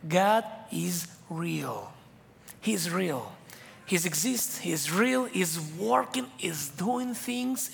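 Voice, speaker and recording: A man's voice: high voice, gravelly, fairly bright tone. A man from Israel preaching a sermon in English, his third language, with an Israeli accent and emphatic, repetitive phrasing. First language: not English; English is his third language. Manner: emphatic, repetitive preaching with simple non-native grammar. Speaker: guest preacher. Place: church sanctuary. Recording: church hall with long reverb.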